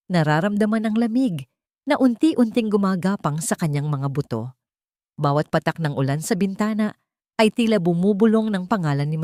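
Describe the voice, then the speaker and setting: A woman's voice: low-pitched, dark tone, dry recording, clean studio sound. A woman narrates a dramatic scripted story in a solemn, emotive way.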